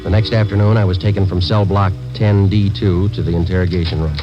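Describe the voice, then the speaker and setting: A dull vintage radio recording of an American actor as a deadpan undercover police sergeant. His voice sits very low and he rattles out facts quickly, weary and businesslike, in clipped radio-drama narration. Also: natural voice. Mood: flat, matter-of-fact. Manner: deadpan, matter-of-fact. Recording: dull old radio recording, music behind.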